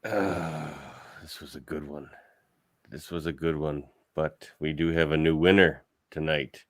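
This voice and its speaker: A man with one of the deepest voices around hosts a casual podcast debate. He is easygoing and tends to let his sentences sink into quiet asides between calling on guests. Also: steady tone, unhurried. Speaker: host, debate moderator.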